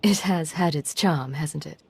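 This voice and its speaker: Low-voiced, composed female agent. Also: a little slow, creaky, slight background noise. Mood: calm. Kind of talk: dramatic video game acting.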